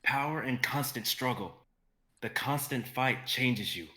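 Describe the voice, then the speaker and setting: A man plays an earnest male fantasy hero, determined and impassioned. The voice is bright and forceful, with halting, pleading delivery in a stage-reading style. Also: medium pitch, pitched above the performer's own voice. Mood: resolute.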